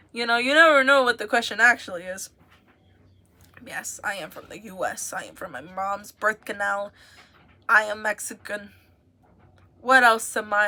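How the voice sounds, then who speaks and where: A woman's voice: on the high side, pushed and bright. A young woman from the United States, chatting casually and giggling as she rambles to viewers on a livestream.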